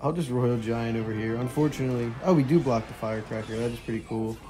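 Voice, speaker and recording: A young man with a hoarse, partly lost voice, casually commentating a mobile strategy game and chuckling quietly as he plays. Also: mid-range voice, fast talker, noisy background. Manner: relaxed, chuckles quietly while playing.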